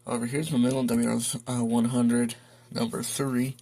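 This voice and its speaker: A man talking casually and haltingly through an unscripted show-and-tell as a hobbyist. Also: mid-range voice, level tone. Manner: halting, hesitant, informal. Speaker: hobbyist video host showing a weather radio collection. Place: indoor room at home. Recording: noisy home video with clicks.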